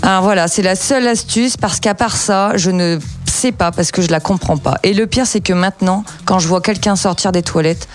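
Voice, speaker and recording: A woman delivers a comic tips segment on a morning radio show, animated and full of mock-outraged asides. Faint music plays beneath her studio voice. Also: medium pitch, normal speed, hardly any pauses. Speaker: radio columnist.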